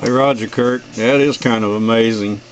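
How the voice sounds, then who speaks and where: A man's voice: medium pitch, steady tone, moderate pace, over a noisy crowd. A middle-aged or older man from the United States chatting casually and in a friendly, folksy way as an amateur radio operator. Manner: friendly sign-offs, folksy. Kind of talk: casual radio contact chat. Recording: noisy radio shack recording.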